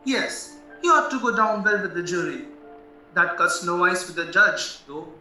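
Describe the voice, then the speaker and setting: An actor as a shrewd, worldly barrister in a radio drama. He speaks bluntly in a high, bright male voice, a little distant in a very echoey room. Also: lively intonation, normal speed, soft background music, heavily acted. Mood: blunt, musing. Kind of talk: stage-style radio play acting.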